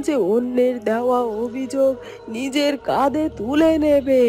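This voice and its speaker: A woman plays a protective mother turned bird in a fairytale cartoon. Her voice is mellow and fairly high, fretful as she wonders aloud, with music underneath. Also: steady tone, a little slow. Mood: worried, puzzled. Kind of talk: Bengali fairytale cartoon voice acting.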